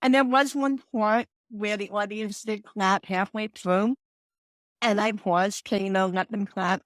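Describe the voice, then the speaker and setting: A woman's voice: mid-range voice, steady tone, a little slow, no room sound. Woman with a New York accent. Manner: earnest storytelling with frequent restarts. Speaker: guest, confidence coach. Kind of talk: podcast interview.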